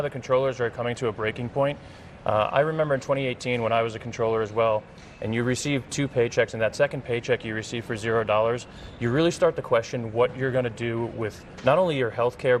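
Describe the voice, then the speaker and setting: Earnest American man, faint noise behind him. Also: medium pitch. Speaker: interview guest, congressional candidate and former air traffic controller. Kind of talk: live TV news interview answers.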